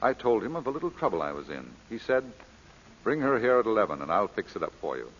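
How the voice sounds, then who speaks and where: An actor playing a genial, romantic English lord with an urbane, courtly manner in old-time radio drama. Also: male voice, lowish voice, very animated, quick pace. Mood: romantic, teasing. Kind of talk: 1940s radio acting. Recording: dull, faintly noisy vintage radio recording.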